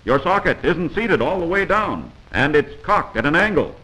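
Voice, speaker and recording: A man's voice: on the high side, sing-song, normal speed, bright, forceful tone, poor audio. A man narrating a 1940s instructional film from a script, in an instructive, emphatic manner on a vintage film soundtrack.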